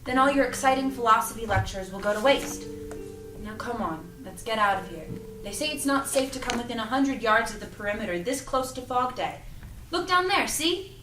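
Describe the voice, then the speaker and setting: A woman's voice: a little high, lively intonation, a little fast, lightly acted. A woman acting a feisty, sarcastic and protective young woman in an audio drama read-through, with an exasperated, bossy manner. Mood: weary, caring, wry. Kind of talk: acted reading of an audio drama script. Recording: roomy, distant mic, music underneath.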